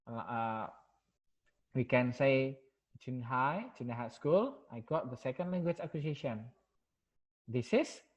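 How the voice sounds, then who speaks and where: A male university lecturer with an Indonesian accent reviewing the course in an online class, halting and full of fillers and repeated "yeah". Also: medium pitch, lo-fi sound.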